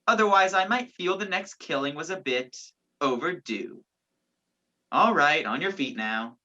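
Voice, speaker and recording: Woman playing a sardonic man on the run, low-voiced. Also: animated, a little slow, bright, forceful tone, heavily acted. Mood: menacing. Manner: dry sarcasm, clipped orders. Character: sardonic, commanding man on the run, falsely accused. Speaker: student stage actor. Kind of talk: radio play acting. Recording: dull, lo-fi virtual recording.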